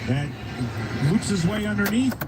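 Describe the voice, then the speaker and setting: A man's voice: sits high, animated, normal speed. A man giving football analysis as a radio color commentator, heard through a car radio. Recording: car radio under loud road noise. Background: loud vehicle noise.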